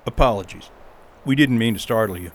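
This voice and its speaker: A man's voice: a little fast, lightly acted. A man playing a stern, matter-of-fact government agent in an audio drama, speaking in clipped, humorless statements. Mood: polite, cool. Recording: clear outdoor rumble and traffic noise.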